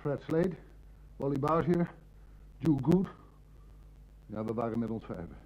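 An actor with a Netherlands Dutch accent playing a nervous, indignant small-time crook in an old radio drama, protesting in an agitated way. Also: male voice, mid-range voice, expressive, unhurried, darkish tone, close to an everyday voice. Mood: resigned, confessing. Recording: dull old radio-play recording.

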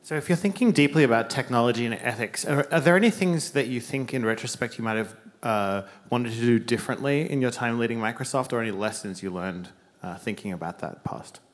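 Man, interviewer, asking a question, trails off softly, auditorium. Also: medium pitch, a little fast.

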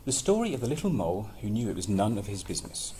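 Low-voiced man reading a children's story, noisy recording. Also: sing-song, quick pace, mellow. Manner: playful, chatty asides between read passages. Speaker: storyteller reading a picture book. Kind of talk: expressive children's story reading with casual asides. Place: small plain indoor office-like room. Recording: clearly noisy room recording.

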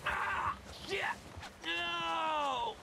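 A man's voice: very high voice, drawn-out words, creaky, noisy background, exaggerated voice. An actor playing a panicked fugitive begging for mercy in a western video game, his delivery pleading and swooping. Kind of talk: video game dialogue acting.

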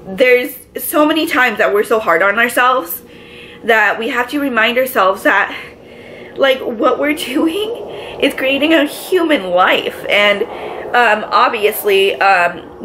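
A pregnant young woman with a raspy, allergy-congested voice, chatting casually to camera from her living room couch, full of filler words. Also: a little high, slow pace, pushed and bright, slight background noise, poor audio. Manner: chatty, lots of filler words, gestures with both hands. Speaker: vlogger. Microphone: camera in front, medium framing.

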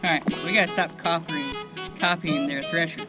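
A man's voice: a little high, steady tone, normal speed, over music, low-quality recording. A man hosting a fishing vlog from a kayak, talking easily to viewers between catches out on the water. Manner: easygoing, addressing viewers. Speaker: kayak angler, channel host. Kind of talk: casual fishing vlog narration. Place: kayak on open bay outdoors.

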